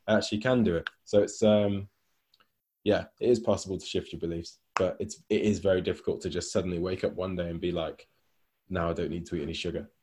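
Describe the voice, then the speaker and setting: A man's voice: low voice, fairly even intonation, quick pace, darkish tone. A man co-hosting a podcast, talking casually as a coach with lots of "like" and "you know". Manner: conversational, many fillers like "like" and "you know".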